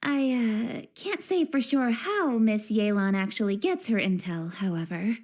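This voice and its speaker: An actress playing a principled, impatient but sincere young woman official in an English game dub, speaking in a crisp, earnest, assertive way. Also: on the high side, unhurried, darkish tone. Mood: thoughtful.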